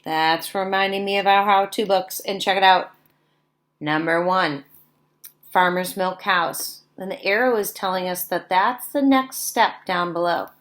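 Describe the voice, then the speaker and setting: A woman teacher reading aloud to young children in simple, deliberate phrasing, pausing to explain as she goes. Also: medium pitch, a bit bright. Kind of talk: read-aloud lesson with explanatory asides.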